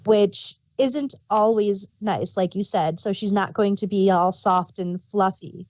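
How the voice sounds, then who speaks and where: A woman's voice: mid-range voice, a little slow, darkish tone, no echo, poor audio. A woman co-hosting a podcast, casually sharing her opinions on a TV show. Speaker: podcast co-host.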